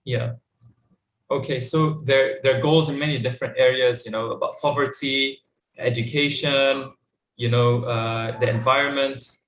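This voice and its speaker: A young man moderating a youth panel over a video call, halting with many fillers. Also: medium pitch, steady tone, fairly bright tone, slight background noise. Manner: halting, full of ums and you-knows. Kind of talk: hesitant casual moderating. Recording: echoey room.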